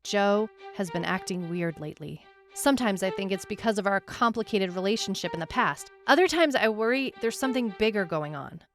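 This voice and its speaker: A woman playing a brooding detective who narrates a supernatural case in a measured, deliberate way, as in a scripted audio drama. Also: mid-range voice, close to an everyday voice. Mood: troubled, reflective. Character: brooding female detective recounting a supernatural missing-person case. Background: music.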